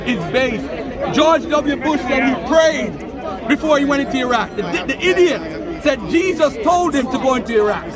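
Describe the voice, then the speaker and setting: A man's voice: very high-pitched, moderate pace. A man arguing heatedly in a street debate, insistent and repetitive, talking over others. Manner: insistent, repeats himself, talks over others. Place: outdoor public speakers' corner. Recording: loud crowd around. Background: crowd of onlookers.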